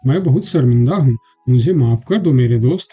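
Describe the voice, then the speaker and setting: A man's voice: medium pitch, animated, brisk, warm tone, husky. A man voicing a regal lion king in a children's cartoon story, stern but forgiving and remorseful. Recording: dull muffled audio with music.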